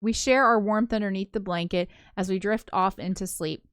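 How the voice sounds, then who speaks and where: A woman reading a visual novel aloud as a let's play narrator, in an expressive first-person storytelling manner. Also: medium pitch, normal speed, smooth, dry recording, studio quality. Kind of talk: live read-aloud of a story game, with casual asides. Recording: music behind the voice. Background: game soundtrack music.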